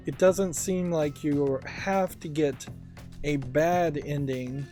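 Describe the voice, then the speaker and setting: Male game streamer, mellow tone, unhurried pace, musing and tentative as he thinks aloud. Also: on the high side. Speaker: let's play host.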